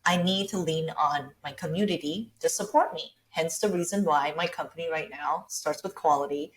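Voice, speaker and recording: A woman's voice: low voice, normal speed, poor audio. A woman in her thirties with an American accent, born in Taiwan, chatting candidly as a podcast guest with many fillers and restarts. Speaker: guest entrepreneur. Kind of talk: casual interview chat.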